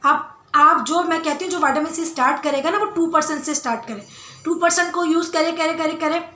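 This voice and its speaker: A woman with a loud voice talking casually to her viewers as a beauty vlogger, emphatic and insistent, repeating phrases for stress. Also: high-pitched, a little fast. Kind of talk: casual skincare Q&A talk to viewers. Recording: roomy, echoey indoor sound.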